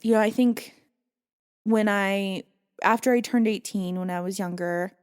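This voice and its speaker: Woman podcast guest, mid-pitched, earnest and reflective, telling her own story. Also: a little slow, smooth. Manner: reflective, earnest, with soft laughs. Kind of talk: candid personal storytelling in conversation.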